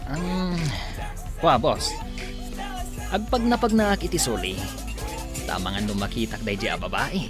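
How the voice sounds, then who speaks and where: A man's voice: mid-range voice, unhurried, pauses often, over music, close to an everyday voice. An actor playing a deferential, chatty male sidekick to his boss in a radio drama.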